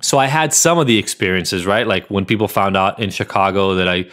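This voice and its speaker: A man's voice: low voice, a little fast, a bit bright. A man from Israel hosting a podcast, talking bluntly and emphatically in a solo monologue, with frequent swearing. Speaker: podcast host.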